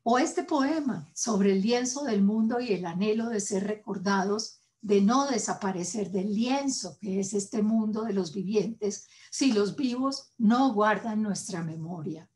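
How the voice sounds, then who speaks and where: A woman with a Colombian Spanish accent, hosting and delivering a formal scripted address in a measured, solemn way with deliberate pauses. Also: medium pitch, darkish tone. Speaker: host.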